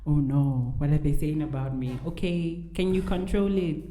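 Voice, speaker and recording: Low-voiced woman with a Nigerian-Namibian accent. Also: normal speed, mellow, slight background noise. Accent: mixed Nigerian and Namibian. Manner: candid, encouraging, emphatic. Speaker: podcast guest. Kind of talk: casual conversation. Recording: echoey room.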